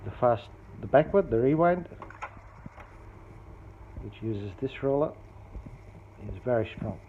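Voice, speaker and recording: A man narrating a casual hands-on repair demonstration as a hobbyist, explaining things in a matter-of-fact, unhurried way. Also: medium pitch, lively intonation. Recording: dull lo-fi phone-video sound, faint noise.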